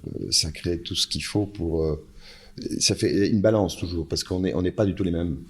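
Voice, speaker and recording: A man's voice: deep voice, normal speed, breathy edge. A man, a brand founder, speaking as a guest on a panel and often repeating words while he searches for them. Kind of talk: panel conversation. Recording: live event with a panel.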